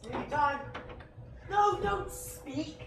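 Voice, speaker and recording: An actress playing a mocking demon tormentor, taunting the damned scholar in a drawn-out way. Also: female voice, sits high, expressive, gravelly, exaggerated voice. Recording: echoey hall from far off, loud music behind.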